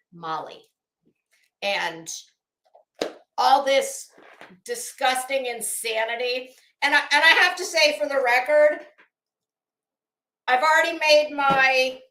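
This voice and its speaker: Casual livestream host: American woman, middle-aged, high pitch, unhurried delivery with heavily stressed words, blunt and sweary. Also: pushed and bright. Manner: blunt, opinionated, profane. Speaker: YouTube commentary host. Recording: roomy, echoey room sound.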